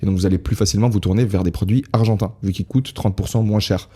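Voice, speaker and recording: Podcast co-host, young man, husky and quick, jokey banter. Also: on the low side, steady tone, warm tone. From half Peruvian. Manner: joking, talkative, laughs often. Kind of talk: casual podcast chat. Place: lives in Spain.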